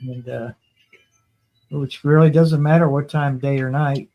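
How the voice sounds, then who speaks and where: A man's voice: a little high, fairly even intonation, a little slow, muffled recording, noisy background. A man from Ohio telling stories casually, in a rambling, folksy way, circling back over his phrases. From Ohio, United States. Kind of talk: casual anecdotal storytelling.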